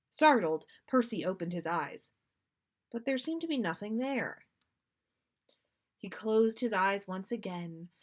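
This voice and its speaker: High-voiced woman reading a story, unhurried. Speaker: podcast host reading listener stories. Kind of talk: expressive story narration, read aloud.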